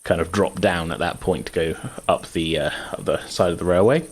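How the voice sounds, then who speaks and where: Low-voiced game master. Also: male voice, a little fast. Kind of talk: casual improvised narration of a tabletop game.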